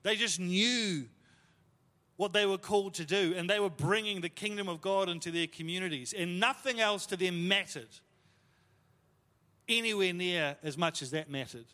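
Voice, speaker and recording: A man delivers a sermon through a series of stories, relaxed and conversational, stumbling over fillers and poking fun at himself. His voice sits a little higher than most men's. A long reverb trails each phrase, as if from a large church hall. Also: moderate pace. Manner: conversational, self-deprecating, lots of fillers. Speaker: pastor. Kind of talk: sermon storytelling.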